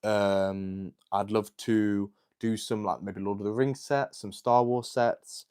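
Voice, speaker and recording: A man's voice: lowish voice, fairly even intonation, slow, a little mellow, clean tone. An eighteen-year-old man hosting a casual livestream, chatting and answering viewer comments with lots of "like" and "um". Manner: chatty and rambling, with many "like" and "um" fillers.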